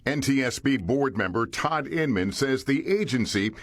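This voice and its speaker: A man's voice: mid-range voice, lively intonation, moderate pace, no echo. Male news reporter, varied melody, close dry sound, scripted delivery.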